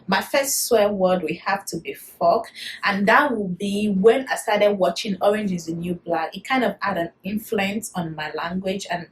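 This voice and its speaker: A woman in her thirties with a Nigerian accent, chatting to camera in a casual vlog, animated and quick to laugh. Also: medium pitch, rough recording. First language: Yoruba. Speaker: vlogger.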